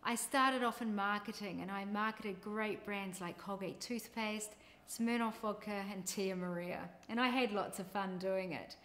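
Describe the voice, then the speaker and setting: A woman's voice: medium pitch, unhurried, fairly bright tone. A middle-aged woman with a New Zealand accent, a business coach telling her career story in an upbeat, scripted introduction.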